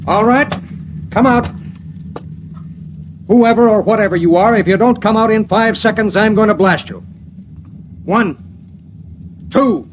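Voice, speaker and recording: A man's voice: high voice, measured pace, long pauses, slight background noise. An actor playing a dutiful, wry spaceship pilot who narrates his grim story in a vintage radio drama, with music behind. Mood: threatening. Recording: old-time radio recording with music behind.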